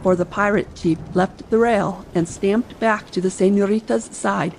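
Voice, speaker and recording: Gravelly-edged woman narrating an adventure novel. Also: medium pitch, normal speed. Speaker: audiobook narrator. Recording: faint ambient noise behind. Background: soft sea ambience.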